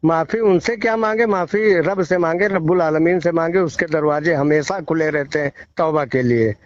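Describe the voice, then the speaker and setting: Male reporter, slightly high voice, preachy and emphatic, reporting over a dry, lo-fi call. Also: moderate pace. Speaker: field reporter giving a report by phone. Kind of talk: news phone interview, opinionated reporting. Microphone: phone call. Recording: muffled, echo-free low-fidelity phone call recording.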